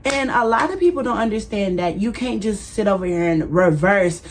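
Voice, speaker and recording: A woman's voice: mid-range voice, lively intonation, normal speed. Emphatic woman lecturing her viewers. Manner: emphatic, peppered with "okay, right?". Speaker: video creator in a played clip. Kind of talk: direct-to-camera opinion vlog.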